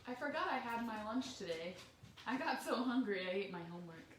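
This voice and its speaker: Woman playing a cheeky little boy earthworm, storybook diary, earnest, expressive, fairly high, roomy sound. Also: fairly quick. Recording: roomy, distant microphone, faint background noise.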